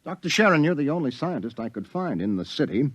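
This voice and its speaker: A man's voice: on the high side, very animated, fast talker, music in the background. An actor playing a young male reporter in a 1950s radio drama, asking skeptical questions. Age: young adult. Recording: dull old radio recording.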